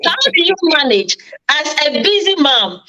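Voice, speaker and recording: A woman with a high, bright voice hosts a live online chat over a video call. She sounds upbeat and keeps inviting viewers to take part. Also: big pitch swings, moderate pace, lo-fi sound. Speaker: host.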